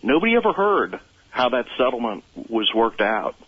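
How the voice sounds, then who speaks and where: Husky male caller on a phone line. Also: medium pitch, very animated, normal speed, dry recording. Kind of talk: casual call-in chat. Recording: thin, narrow telephone line.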